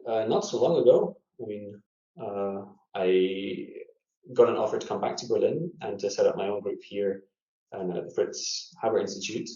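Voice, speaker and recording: A man's voice: fairly low pitch, dark tone. A Scottish man, a scientist guest in a casual interview, telling his story thoughtfully with many fillers and restarts. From Scotland. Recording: echoey room, dull lo-fi audio.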